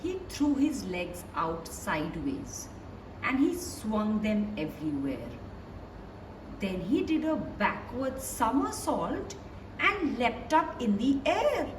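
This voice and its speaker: A woman reading a children's picture book aloud in an expressive storyteller's way, talking directly to young listeners. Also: a little high, slow. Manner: animated storyteller addressing young listeners with questions. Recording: roomy, distant mic, clearly noisy background.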